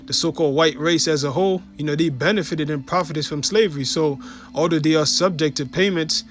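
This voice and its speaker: Male preacher, Haitian accent, somewhat high voice, chatty teaching delivery full of fillers. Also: fairly quick. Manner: conversational, fills with "you know" and "you see". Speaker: religious teacher. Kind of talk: informal preaching video.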